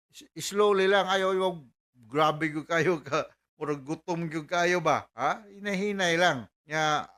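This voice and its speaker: Higher-voiced Filipino man, Cebuano accent. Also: fairly bright tone, clear, resonant tone. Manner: explanatory, emphatic, repeats key words. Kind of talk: informal health advice, mixing Cebuano and English.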